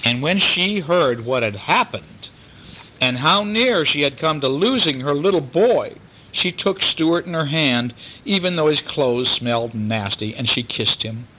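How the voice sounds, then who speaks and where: A man with a bright voice reads a bedtime story aloud. He is expressive and explains things simply to a young child, with faint noise in the background. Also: fairly high pitch, unhurried, poor audio. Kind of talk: bedtime story reading aloud, with chatty asides.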